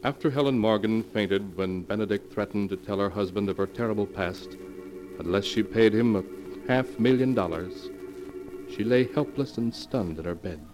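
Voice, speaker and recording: An actor playing an ominous storyteller, narrating a 1940s radio mystery in a dramatic, portentous manner. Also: male voice, fairly low pitch, normal speed, close to an everyday voice, poor audio. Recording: vintage radio with music underneath.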